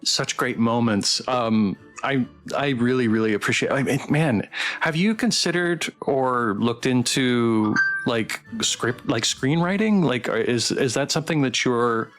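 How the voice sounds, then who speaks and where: A man with a mid-pitched voice hosts a casual streamed chat over faint music. He is effusive and encouraging, feeling his way through questions and restarting phrases as he talks. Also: fairly bright tone. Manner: effusive, encouraging, halting with rephrasing. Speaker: host. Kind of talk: casual livestream developer interview. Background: background music.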